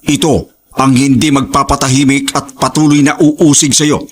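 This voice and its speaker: Solemn male narrator, medium pitch. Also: fairly even intonation, normal speed, slight background noise. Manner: solemn, ominous. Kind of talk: scripted dramatic story narration.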